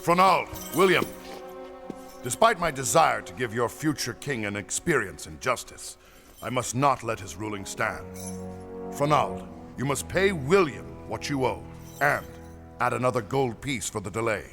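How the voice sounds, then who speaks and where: Man playing a troubled, stately king with gravelly voice. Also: mid-range voice, animated, sharp tone, faint music behind. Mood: stern. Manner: formal, stately, measured archaic speech. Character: weary, anguished king and worried father, formal and grave. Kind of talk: audio drama acting.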